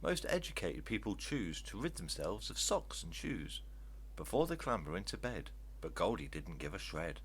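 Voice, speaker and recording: An older man reads rhyming verse aloud as children's storytelling, in an expressive, emphatic way. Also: deep voice, moderate pace. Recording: steady background noise with hum.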